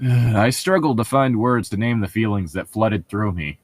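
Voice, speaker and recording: A man's voice: lowish voice, normal speed, dry recording. A man doing casual, mocking read-along commentary as a show host, jokey and profane as he rambles. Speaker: host of a comedic book-reading show.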